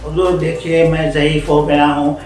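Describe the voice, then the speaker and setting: A man's voice: on the high side, flat delivery, moderate pace, a little mellow, very echoey, far from the mic, lightly acted. A man voicing a frail, polite old male monkey, deferential and pleading, in a storybook tale. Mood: humble pleading.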